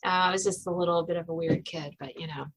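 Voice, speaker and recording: A woman's voice: lowish voice, fairly even intonation, fast talker, husky, poor audio. A woman from Virginia with an American accent, hosting a casual, chatty interview in a self-deprecating way, often trailing off into asides. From Virginia, United States. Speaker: podcast host interviewing an author.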